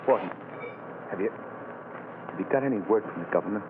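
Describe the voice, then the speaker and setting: An actor playing a condemned man awaiting execution, speaking in a shaky, halting, bitter way in a 1930s radio drama. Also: fairly low pitch, lightly acted. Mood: despairing. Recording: hissy tinny 1930s radio broadcast.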